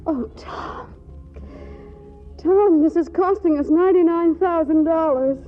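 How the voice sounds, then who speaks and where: An actress playing a flustered, wry wife torn between love and money in an old radio comedy, with an arch, hesitant delivery. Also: female voice, very high voice, a little slow. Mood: wavering, torn. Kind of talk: 1940s radio comedy acting. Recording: tinny old radio broadcast, music behind.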